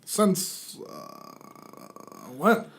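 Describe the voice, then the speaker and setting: A man's voice: very slow, a bit bright. A man playing a put-upon, awkward suburban dad in an amateur comic reading, with a whiny, protesting manner. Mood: exasperated.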